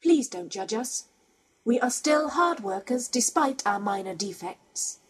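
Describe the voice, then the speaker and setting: Man putting on a breathy, nervous female android. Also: very high voice, expressive, unhurried, voice pushed up, full character voice. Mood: apologetic. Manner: apologetic, formal.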